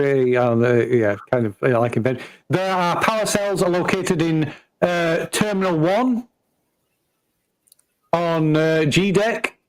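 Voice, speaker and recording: A man running a tabletop roleplaying game as game master, halting and often searching for his words. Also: a little high, low-quality recording. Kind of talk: live tabletop roleplaying session narration.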